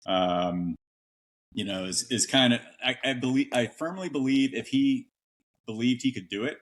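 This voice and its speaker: A middle-aged man who is a retired military officer, talking casually as an interview guest and pausing often with fillers and restarts. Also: mid-range voice. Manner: halting, with many fillers and restarts. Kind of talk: casual conversation.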